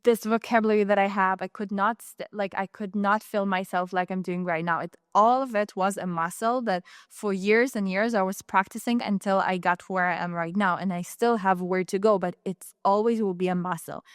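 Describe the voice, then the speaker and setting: Young Israeli-accented woman hosting a solo podcast; earnest, rambling delivery, crisp voice, dry room. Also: medium pitch, steady tone, normal speed, hardly any pauses, no echo. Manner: rambling and earnest, many restarts and fillers. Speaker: podcast host. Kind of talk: casual solo podcast talk.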